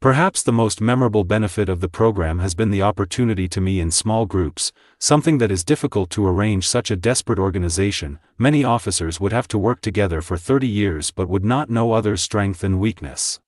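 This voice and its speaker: A man's voice: lowish voice, a little fast, hardly any pauses, a little mellow, no echo, studio quality. A man reading scripted practice passages aloud for an English test.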